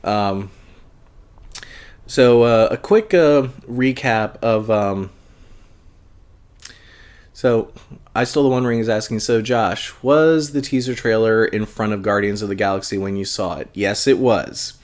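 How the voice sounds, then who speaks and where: A man hosting a casual live book club stream, a little congested, talking in a relaxed, hesitant way full of fillers as he reads viewer comments aloud. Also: fairly low pitch, unhurried, long pauses. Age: adult, long-time convention goer.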